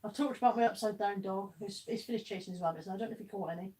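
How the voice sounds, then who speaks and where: A woman's voice: mid-range voice, racing, darkish tone. A woman hosting a live stream in a casual chatty way, reading out viewer comments, laughing often and talking bluntly. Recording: voice somewhat far from the mic.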